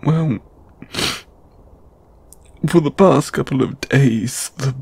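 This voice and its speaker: A man playing an anxious, apologetic, lovestruck lamia flatmate in an audio roleplay, stammering and flustered. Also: medium pitch, dry recording, heavily acted. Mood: nervous, confessing. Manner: stammering, halting, flustered, breathy sighs. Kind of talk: intimate audio roleplay acting.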